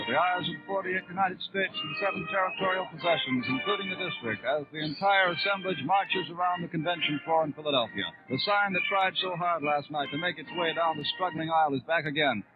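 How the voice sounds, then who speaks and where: A man giving formal, descriptive live radio commentary from a big convention hall in 1930s style. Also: high-pitched. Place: large noisy convention hall. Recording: tinny old 1930s radio broadcast, band music behind. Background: band music and demonstration noise.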